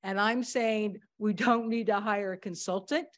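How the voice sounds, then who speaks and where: Woman, public commenter, loud and direct, mid pitch, halting with fillers. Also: fairly even intonation. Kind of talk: public comment at a city council meeting.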